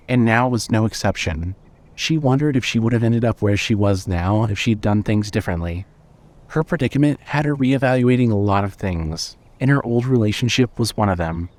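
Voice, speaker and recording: A man narrating scripted fiction as a storyteller, in a measured way. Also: lowish voice, a little mellow.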